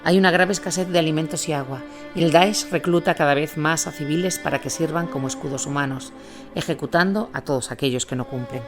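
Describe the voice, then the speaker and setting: A man's voice: fairly high pitch, a little fast. A man narrating scripted war reportage in a read, journalistic style. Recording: music bed under voice.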